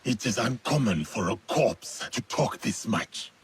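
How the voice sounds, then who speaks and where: A man's voice: fairly low pitch, animated, a little slow, breathy edge, full character voice. A man voicing a vengeful, resolute samurai in a video game, solemn and defiant in manner. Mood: cold defiance. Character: vengeful, resolute male samurai, a former slave. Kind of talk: dramatic video game acting.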